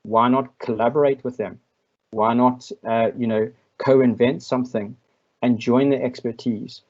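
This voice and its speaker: South african guy on a video call, pretty monotone, thinks out loud with lots of ums, slightly muffled audio. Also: mid-range voice. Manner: measured, reflective, with frequent ums and restarts. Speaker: invited speaker. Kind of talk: online masterclass Q&A.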